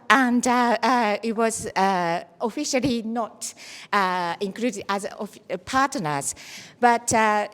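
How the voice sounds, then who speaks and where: Higher-voiced woman in a reverberant hall. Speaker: session chair introducing speakers. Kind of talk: semi-formal spoken introduction. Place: large echoey indoor hall. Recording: echoey hall with long reverb.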